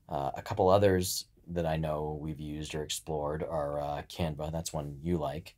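A man's voice: very deep voice, level tone, normal speed, a little mellow. A man co-hosting a podcast in a casual, informal chat style, with a joking streak. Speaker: podcast co-host.

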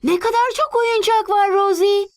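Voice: extremely high pitch, moderate pace, no echo. A performer in a children's cartoon dub voicing a cheerful, curious little boy with simple, eager phrasing. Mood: excited.

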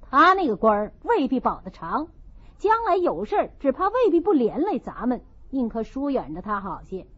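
A grumbling young gentleman of a great house , played by a woman narrator in a storybook. He complains offhandedly with lively swings of pitch. The audio is a little dull. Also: moderate pace, slightly rough, heavily acted. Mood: wary. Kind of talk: audiobook character reading of a classical novel.

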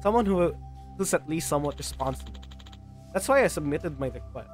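A man's voice: on the high side, lively intonation, a little fast. Playful male game streamer over music. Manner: playful, ad-libbing, stumbles while reading. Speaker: livestreamer. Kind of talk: reading game dialogue aloud with casual commentary. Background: video game music.

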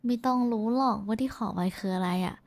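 A woman's voice: mid-range voice, quick pace, a little mellow, natural voice. A woman playing a shy, affectionate young woman in an intimate roleplay, talking casually and hesitantly to someone special. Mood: tender, teasing. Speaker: VTuber voice actress. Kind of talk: intimate roleplay voice pack addressed to the listener.

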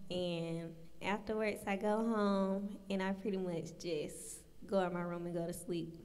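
A young woman in her twenties talks in a flat, even tone, pausing on ums as she describes her workday. Her voice rings with the long reverb of a big church hall. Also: medium pitch. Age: 20s. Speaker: panel guest. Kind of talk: answering questions on a panel. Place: church sanctuary.

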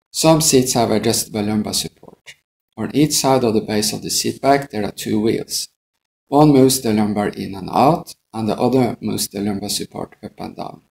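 Measured, gravelly male pilot narrator. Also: on the low side, a little mellow, slight background noise. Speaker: airline pilot instructor. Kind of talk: scripted instructional narration.

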